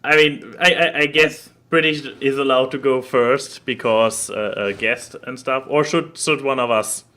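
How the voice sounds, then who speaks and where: A man with a bright, forceful voice at a medium pitch co-hosts a podcast. He chats casually in a halting way, doubling words as he works toward his point. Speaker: podcast co-host. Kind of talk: casual chat.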